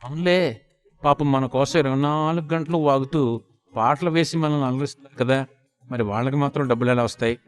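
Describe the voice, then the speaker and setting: A man's voice: medium pitch, a little fast, lightly acted. Man playing a grumbling, long-suffering husband. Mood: sarcastic grumbling. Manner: muttering, sarcastic.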